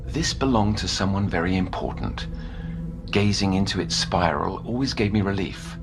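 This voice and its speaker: A man playing a solemn, insistent counsellor in an audio drama, speaking formally and deliberately as he coaxes like a hypnotist. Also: deep voice, creaky, over music, low-quality recording. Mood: earnest, confiding.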